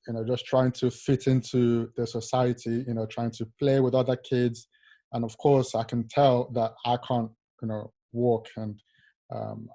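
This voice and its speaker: A young man from Nigeria, a rower, telling his life story in an interview in a soft, halting way with many fillers. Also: medium pitch. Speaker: Paralympic rower, guest. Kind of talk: casual interview, personal story.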